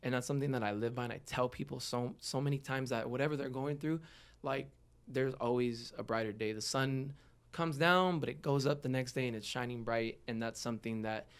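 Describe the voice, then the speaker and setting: A man's voice: mid-range voice, a little fast, clean tone. A man in his early twenties talking openly and reflectively as a guest in a casual podcast interview, often adding "you know". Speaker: guest, rapper.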